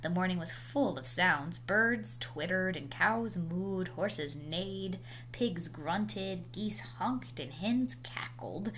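A woman reading a children's picture book aloud with animated, dramatic emphasis and drawn-out words. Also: on the low side. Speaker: storybook reader. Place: indoors, small room at home. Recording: noisy home video recording.

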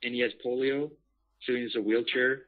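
A man's voice: medium pitch, steady tone, a little fast. A man chatting casually and asking questions as a caller. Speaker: interviewer, caller. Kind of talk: casual phone chat. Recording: muffled phone line.

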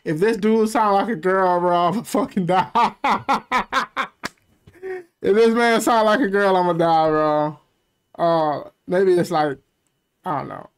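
A man's voice: sits high, slow, clear, resonant tone. A man in his thirties doing casual reaction commentary, loose and slangy with laughing, swearing asides, on a headset mic in a small room. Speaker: reaction streamer.

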